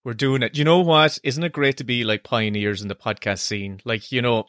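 A man with a softened Cork country accent, co-hosting a casual podcast in a playful, self-deprecating way. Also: mid-range voice, steady tone, moderate pace, no echo, clean studio sound. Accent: Irish, Cork country accent softened by years away. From Ireland, Cork. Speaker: podcast co-host, screenwriter. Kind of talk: casual chat.

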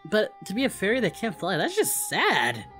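Woman acting as easygoing male anime warrior, cocky, folksy, rambling, very animated intonation, parody game chat, faint music. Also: mid-range voice, normal speed. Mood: dramatic, playful. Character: Goku, goofy easygoing boastful male anime warrior hero. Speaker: host of a gaming channel.

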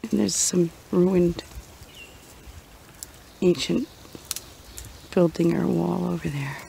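A woman narrates a walk in the woods at a very slow, halting pace, as if thinking aloud. Her voice has a lot of creak and sits a little low. It is an unscripted outdoor recording with some noise around her. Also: warm tone. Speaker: vlogger and walking guide. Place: outdoors on a woodland trail. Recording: outdoor noise, slightly muffled at times.

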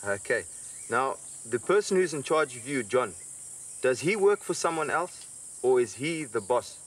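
A man's voice: medium pitch, sing-song, measured pace. A man interrogating someone outdoors, pressing with repeated questions. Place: outdoors in the bush. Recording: distant outdoor mic, faint insect noise.

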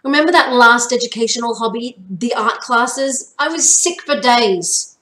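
A woman's voice: fairly high pitch, moderate pace, fairly bright tone, far from the mic, voice pushed up, close to an everyday voice. Woman acting emphatic, indignant young boy, echoey. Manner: emphatic, protesting. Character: nine-year-old boy, dramatic, indignant, pleading. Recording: echoey home room.

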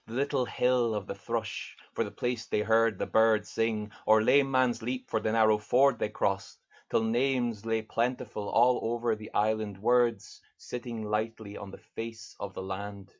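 Man, thirties, poet reading aloud, thoughtful and deliberate, lowish voice, dull video-call sound. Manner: earnest, thoughtful, reads verse slowly and deliberately. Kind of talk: poetry reading with spoken introductions. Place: home study lined with bookshelves, indoors. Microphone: video call webcam.

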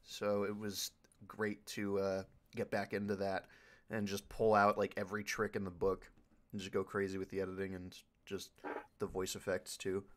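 A man with a slightly low voice talks casually on a panel. He speaks as an editor answering questions from fans. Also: monotone, moderate pace.

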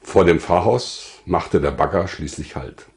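An older man narrating his own life story from a script in a measured, formal way. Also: low voice, lively intonation, darkish tone.

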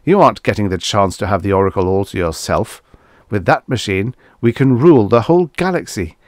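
A man's voice: lowish voice, animated, brisk, dry recording, studio quality, lightly acted. Man acting a brash, greedy space explorer. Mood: stubborn, greedy. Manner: brash, forceful, dismissive. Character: greedy, stubborn, impatient male space explorer.

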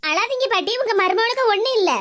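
A woman's voice: very high voice, fast talker, very noisy, pitched above the performer's own voice. A woman voicing a patient woman doctor reassuring a worried grandmother. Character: calm woman hospital doctor.

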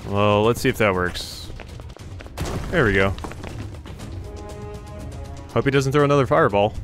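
A man's voice: fairly low pitch, measured pace, a bit bright. A man giving casual let's play commentary on a video game, thinking aloud as he plays. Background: video game music and effects.

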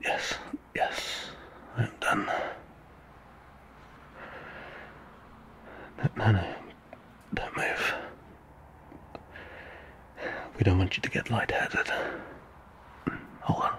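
A man playing a gentle, courteous old vampire in an intimate audio roleplay, speaking formally in hushed, soothing, measured tones. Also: lowish voice, steady tone, whispered. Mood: hushed, concerned. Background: ambient sound effects.

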